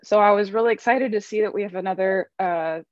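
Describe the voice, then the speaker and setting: Young american woman on a video call, casual, mid pitch, dry and a bit lo-fi. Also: quick pace, darkish tone, no echo. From Chicago, United States. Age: young adult. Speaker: opera singer, competition finalist. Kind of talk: casual introduction. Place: indoor room on a video call. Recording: dull lo-fi video-call audio.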